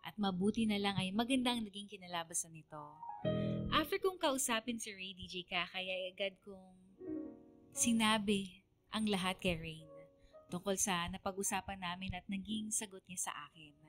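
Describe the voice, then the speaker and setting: A woman's voice: mid-range voice, normal speed, over music, natural voice. A woman acting an earnest young woman who confides her love story in scripted radio narration, sincere and confessional. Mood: relieved. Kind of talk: scripted first-person radio letter narration.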